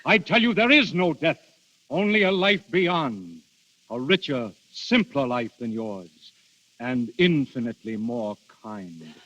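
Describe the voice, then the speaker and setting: An actor playing Death in human guise as a proud, sorrowful immortal lover, in grand, eloquent 1930s radio style. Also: male voice, mid-range voice, sing-song, unhurried, fairly bright tone. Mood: proud, impassioned. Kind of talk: 1930s radio drama acting. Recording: muffled old 1930s radio recording.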